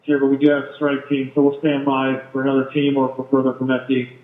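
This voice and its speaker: A man's voice: mid-range voice, completely flat delivery, fast talker. A man, a police officer, reporting in clipped procedural language over a police radio. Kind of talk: live police radio traffic. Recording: tinny, echoey police scanner radio.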